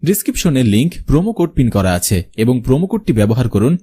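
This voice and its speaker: Mellow man narrating with lively pitch. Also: racing, slightly rough. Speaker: cartoon narrator and presenter. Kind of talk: scripted narration.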